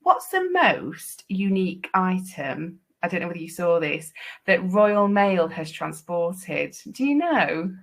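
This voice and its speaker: A woman's voice: lowish voice, sing-song, slow. A woman hosting an online Q&A, reading out audience questions with some hesitation. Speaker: session host. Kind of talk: moderating a webinar Q&A.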